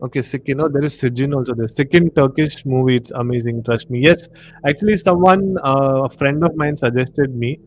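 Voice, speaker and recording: A man's voice: mid-range voice, brisk, slight background noise, rough recording. A man with an Indian accent co-hosting a casual film discussion on a live stream, conversational and repeating himself for emphasis.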